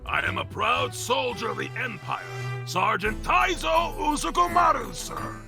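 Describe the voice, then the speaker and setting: A woman acts out a proud, loud army sergeant in a game dub. The voice is very high and gravelly, declaiming dutifully, with music behind it. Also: very animated, a little slow, heavily acted. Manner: military, declaiming.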